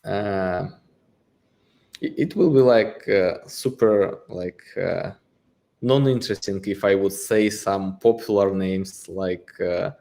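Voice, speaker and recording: A man's voice: low-pitched, slow, creaky, dark tone. A man, a guest answering interview questions, hesitating and searching for words with many fillers. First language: Russian. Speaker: guest, exchange co-founder.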